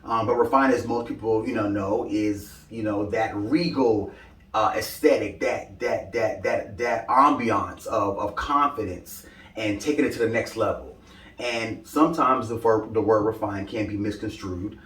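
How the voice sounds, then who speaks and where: A man's voice: fairly low pitch, a bit bright. A young man from Brooklyn, answering interview questions about his style brand in an upbeat, rambling way with lots of "you know.". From Brooklyn, New York. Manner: upbeat, talks in loose run-ons with many "you know" fillers. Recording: echoey room, mic at a distance.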